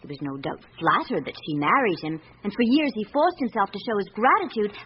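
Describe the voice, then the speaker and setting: Actress as frank, lively-voiced young secretary. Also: female voice, mid-range voice, brisk, close to an everyday voice. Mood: candid, cool. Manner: matter-of-fact, candid. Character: frank, composed young female secretary from the country. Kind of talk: radio drama acting. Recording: muffled, hissy radio drama recording.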